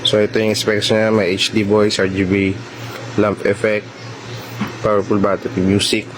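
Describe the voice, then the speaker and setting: A man's voice: lowish voice, monotone, normal speed, slight background noise, poor audio. A man with a Filipino accent narrating a casual product demo vlog, talking informally and directly to viewers. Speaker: vlogger presenting a product installation.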